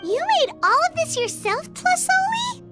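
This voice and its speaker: An actress voicing a tiny, childlike, curious fairy companion in a video game, squeaky and excitable. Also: female voice, normal speed, full character voice. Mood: alarmed, curious. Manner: squeaky, exclamatory questioning. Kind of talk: scripted video game voice acting. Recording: loud music behind the voice.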